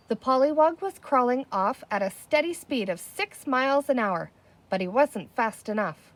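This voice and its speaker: A woman's voice: high-pitched, no room sound. A woman reading a children's novel aloud outdoors, telling the story expressively and voicing the dialogue. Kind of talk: expressive read-aloud narration with character dialogue. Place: outdoors in the open air. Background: birdsong, wind chimes, a barking dog.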